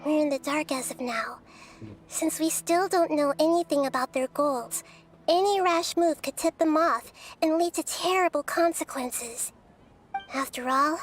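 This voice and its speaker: A woman voicing a small childlike goddess of wisdom in a video game, gentle and thoughtful, speaking in an earnest, measured way. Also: high voice, soft background music, close to an everyday voice. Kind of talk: video game character acting.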